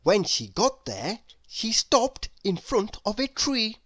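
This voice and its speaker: A woman reading a children's story aloud in an expressive, deliberate storytelling manner. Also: medium pitch, no echo, clean studio sound. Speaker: storybook reader. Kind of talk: scripted read-aloud narration of a children's story.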